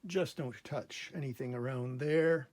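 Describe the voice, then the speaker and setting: A man hosting a casual hands-on repair demonstration at a workbench, explaining methodically step by step in a gravelly, husky voice. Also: mid-range voice, measured pace, a little mellow, a little hiss or hum. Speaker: host of a repair channel. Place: indoor workbench in a workshop.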